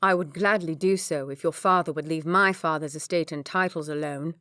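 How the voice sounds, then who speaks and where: A woman's voice: sits low, fairly even intonation, moderate pace, a bit bright, dry recording, clean studio sound. A woman voicing a curt, restrained young nobleman. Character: bruised, angry young nobleman holding back.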